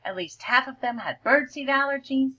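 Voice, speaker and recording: A woman teacher reading a picture book aloud to children, expressively, stretching words and dropping into hushed explanatory asides. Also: high-pitched, moderate pace, breathy edge, dull audio. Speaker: elementary school teacher.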